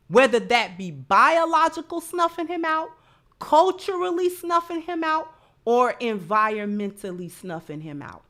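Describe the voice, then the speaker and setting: A woman's voice: medium pitch, fairly bright tone. A woman giving opinionated live commentary as a host, emphatic, repeating phrases and posing rhetorical questions. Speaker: livestream host.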